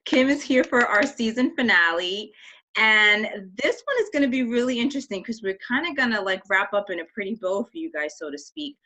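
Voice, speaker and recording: A woman's voice: a little high, expressive, a little fast, bright, forceful tone. A woman co-hosting a podcast, opening the episode in an upbeat, casual way. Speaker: podcast co-host. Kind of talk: casual chat.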